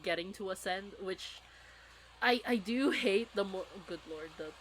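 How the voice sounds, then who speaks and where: A woman's voice: medium pitch, measured pace, a little hiss or hum, rough recording. A woman in a casual, rambling fan discussion, talking as a podcast co-host.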